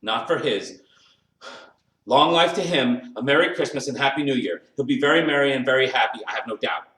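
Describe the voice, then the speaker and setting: Storybook wife, played by a male reader: indignant, sharp-tongued, scolding, pitched up, distant roomy sound. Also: a little slow. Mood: grudging, sarcastic. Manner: scolding, emphatic. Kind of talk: dramatised storybook reading.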